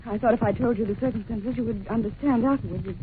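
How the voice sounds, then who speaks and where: Actress as a nervous, stammering young governess, old-time radio, tinny. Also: female voice, on the high side, quick pace, lightly acted. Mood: anxious. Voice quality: hesitant, stammering, earnest. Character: timid young governess in need of work. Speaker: radio drama actor. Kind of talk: 1940s radio acting. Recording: tinny old radio recording with faint hiss.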